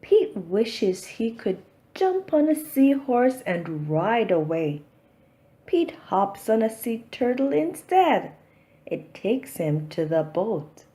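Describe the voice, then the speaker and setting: Slow, lively woman reading a picture book. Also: on the high side. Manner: simple, deliberate storytelling for young children. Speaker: children's storybook reader. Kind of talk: expressive read-aloud picture-book narration.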